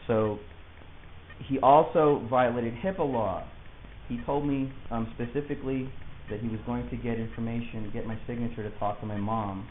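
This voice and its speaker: A man's voice: medium pitch, fairly even intonation, normal speed. A man speaking as a client to his lawyer, arguing his points insistently and in articulate detail. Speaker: client of a public defender. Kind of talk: argumentative conversation. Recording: hissy, muffled phone-like audio, echoey room.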